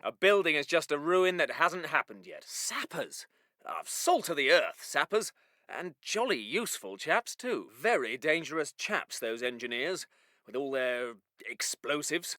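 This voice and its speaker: A plucky British wartime medic for a strategy game. His upper-class English voice is very high for a man and piercingly bright, with clipped, old-fashioned officer manners in a dry, close recording. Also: no room sound, full character voice. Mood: quiet, musing. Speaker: game voice actor. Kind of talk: military game voice lines.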